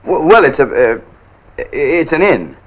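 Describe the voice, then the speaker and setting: A man's voice: on the high side, sing-song, a little slow, breathy edge, highs cut off, exaggerated voice. An actor playing a chummy British army officer in a 1950s war film, stumbling a little as he explains.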